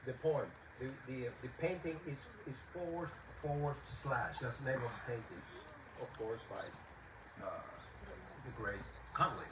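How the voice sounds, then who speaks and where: A man from Puerto Rico with a Puerto Rican Spanish accent, speaking hesitantly to a concert audience. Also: medium pitch. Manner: hesitant, halting, with many fillers. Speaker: composer and pianist. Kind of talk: informal spoken talk to an audience. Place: outdoor garden concert. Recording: distant echoey outdoor recording with noise.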